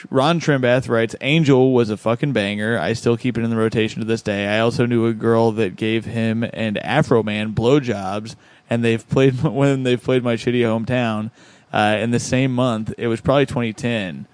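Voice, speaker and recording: A man's voice: medium pitch, steady tone, moderate pace, a little mellow, no room sound. A man hosting a podcast, reading out listener comments in a laid-back, chuckling way. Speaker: podcast host.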